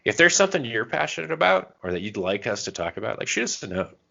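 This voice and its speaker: A man's voice: mid-range voice, sing-song, fast, vocal fry, bright, forceful tone, muffled recording. A man co-hosting a podcast in casual chat, bantering in a jokey, self-deprecating way. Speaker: podcast co-host.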